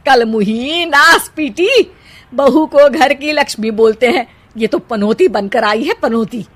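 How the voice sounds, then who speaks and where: Cartoon mother-in-law, middle-aged woman actor: high voice, drawn-out taunts, dramatic and spiteful. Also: fairly bright tone. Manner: scolding, taunting, exclamatory.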